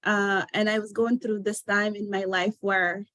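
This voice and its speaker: A woman whose first language is Arabic, a poet reciting her own poem in a measured, earnest way over a video call. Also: medium pitch, little pitch movement, a bit bright, dry recording. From Sudan. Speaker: poet guest.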